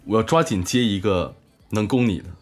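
A man's voice: on the low side, lively intonation, fairly quick, soft background music. A man chatting casually with a voice actor colleague in a gushing, effusive way. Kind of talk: casual chat.

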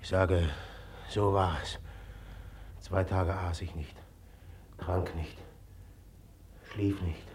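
A man's voice: sits low, flat delivery, crowd noise. An actor playing a brooding, jealous, obsessive man in a German radio drama, with intense delivery. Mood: brooding, haunted. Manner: slow, intense, swinging from murmurs to shouting.

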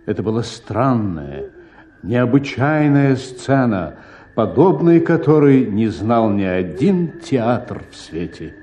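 A man's voice: medium pitch, big pitch swings, slow, warm tone. A man narrating a literary short story for a radio drama in a scripted style.

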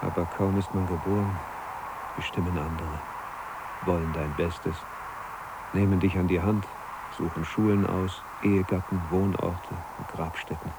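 An actor playing an anxious, dreamy town archivist longing to escape, in a 1980s German radio drama with earnest, musing, literary delivery. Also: male voice, low voice, fairly even intonation, moderate pace. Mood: wistful. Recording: noisy cassette copy of radio play.

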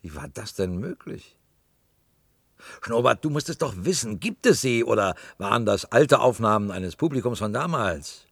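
An actor in a German radio play, playing a brash, bossy but chummy worker with a blunt, colloquial way of talking. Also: male voice, lowish voice, animated, moderate pace, creaky, fairly bright tone, no echo, clean studio sound, heavily acted. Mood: chatty, blunt. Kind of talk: German radio drama acting.

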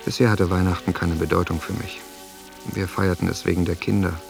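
An actor in a radio drama playing a brooding grown son who reads his diary in a sombre, introspective, measured way. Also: low voice, gravelly, heavily acted.

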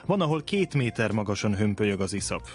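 A man's voice: lowish voice, sing-song, a little mellow, faint music behind. A man presenting radio news in a newsreading style. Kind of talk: news reading.

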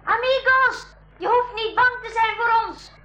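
An actress playing an eager, curious young niece with excitable delivery in a school radio play. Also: female voice, very high-pitched, a little slow, exaggerated voice, poor audio. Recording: 1980s radio play, faint noise.

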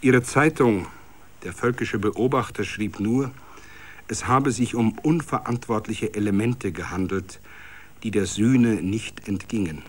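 A man's voice: fairly low pitch, unhurried, husky. Bavarian man reading dramatic literary prose. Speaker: reader of a literary story. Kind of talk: dramatic scripted literary narration.

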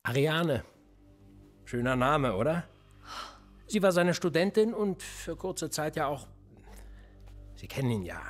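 A cynical husky cop. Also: male voice, on the high side, unhurried, pauses often, faint music behind. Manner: smug, needling, lively intonation. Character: cool, cynical male police interrogator. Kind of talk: radio drama acting.

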